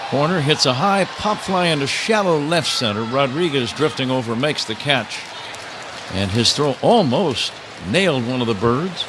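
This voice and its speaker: A man calling baseball play-by-play live on the radio, slipping wry, casual banter between pitch calls. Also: expressive, normal speed, crowd noise. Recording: clear ballpark noise behind. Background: outdoor stadium ambience.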